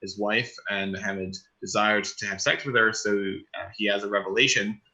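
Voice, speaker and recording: A man debating, challenging his opponent and then explaining his view in a measured, academic way. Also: lowish voice, steady tone, gravelly, bright, forceful tone, low-quality recording. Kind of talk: live online debate.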